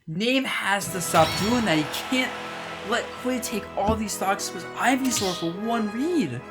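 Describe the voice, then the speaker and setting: Woman casting esports over loud music. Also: mid-range voice, lively intonation, unhurried. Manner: eager play-by-play analysis. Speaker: esports commentator. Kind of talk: live esports commentary.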